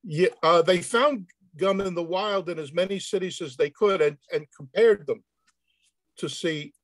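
A man on a panel answering questions informally in a halting, hesitant way. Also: sits high, no room sound, poor audio. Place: indoor room on a video call.

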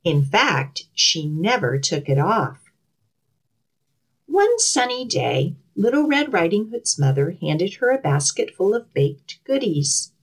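A woman in middle age speaks to young children as a storytime leader. Her delivery is lively and unhurried, with simple, deliberate phrasing and plenty of expression. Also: on the low side, husky. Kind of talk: children's storytime reading and instruction.

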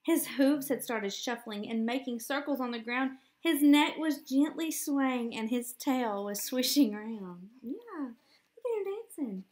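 A woman in her thirties reading a picture book aloud at home to young children in an expressive, sing-song way. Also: high voice, a little slow. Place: small living room at home, indoors.

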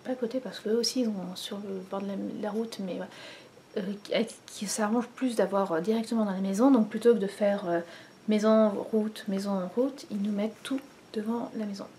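A woman's voice: medium pitch, steady tone, moderate pace, dark tone, slight background noise. A woman in her thirties from France chatting casually to camera at home, rambling with many fillers and restarts. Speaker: knitting vlogger. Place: living room at home, indoors. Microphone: camera in front, head and shoulders.

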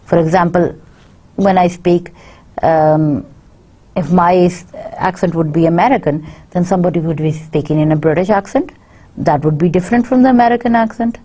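Woman, Pakistani accent, middle-aged, university lecturer, lowish voice, slow English then fast Urdu asides. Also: mellow. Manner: explains slowly in English, then rattles off quick asides in Urdu. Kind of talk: recorded video lecture. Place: studio set with dark backdrop. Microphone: fixed camera, seated mid shot.

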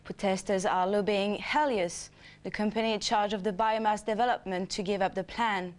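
A woman's voice: mid-range voice, steady tone, normal speed. A woman presenting a scripted news bulletin.

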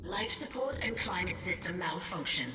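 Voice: mid-range voice, lively intonation, normal speed, lightly acted. A voice playing an emotionless ship computer giving automated system warnings in a game. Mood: impersonal. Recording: echoey, distant, under loud music.

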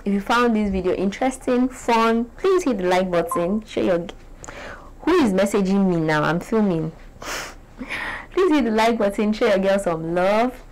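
A young woman from Nigeria with a Nigerian accent, talking to the camera in a casual, animated vlog from a small bright room. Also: mid-range voice, normal speed, rough recording. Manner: animated, chatty, gestures a lot. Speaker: beauty vlogger.

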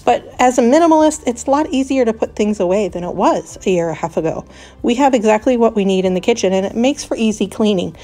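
A woman in her thirties chatting through a voice-over for her home cleaning vlog, relaxed and friendly, with the odd small laugh. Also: on the high side, fast. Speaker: homemaking vlogger.

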